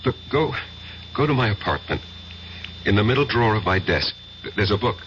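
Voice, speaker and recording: An actor playing an agitated professor in a 1970s radio drama, speaking insistently and haltingly, often repeating himself. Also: male voice, on the low side, lively intonation, fairly bright tone. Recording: muffled, hissy radio drama recording.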